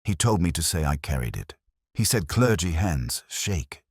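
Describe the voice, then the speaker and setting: Aggrieved small man in a mystery tale, acted by a male reader; blurting, self-justifying, low voice, dry recording. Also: sing-song, moderate pace, breathy edge, dry recording, heavily acted. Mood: loud whisper.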